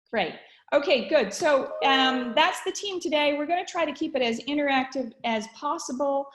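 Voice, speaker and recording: A woman from Canada hosting an online webinar, upbeat, with frequent fillers and pauses. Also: high-pitched, reverberant. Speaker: webinar host. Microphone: video call.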